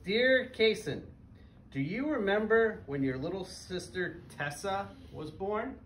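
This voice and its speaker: Higher-voiced man reading aloud, roomy and distant. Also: expressive, unhurried, fairly bright tone. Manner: simple, child-directed phrasing with questions to listeners. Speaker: parent guest reader at a school. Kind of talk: reading a picture book aloud to young children, with asides and questions. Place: small elementary school classroom. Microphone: camera a few metres away, speaking through face mask. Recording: roomy, distant mic with background noise.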